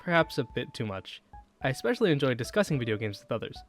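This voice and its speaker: A young man reading a scripted video essay as a student narrator, in a measured, enunciated way with rhetorical questions. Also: medium pitch, big pitch swings, faint music behind. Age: young adult.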